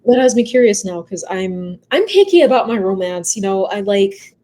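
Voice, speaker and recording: Female creator as podcast guest, medium pitch, polite, detailed, conversational explanations. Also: lively intonation, normal speed, dark tone. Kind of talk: casual explanatory talk.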